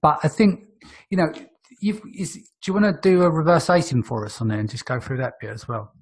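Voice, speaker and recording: Husky-voiced male podcast host. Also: on the high side, sing-song, brisk. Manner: short agreeing interjections and prompting questions. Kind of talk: casual podcast chat.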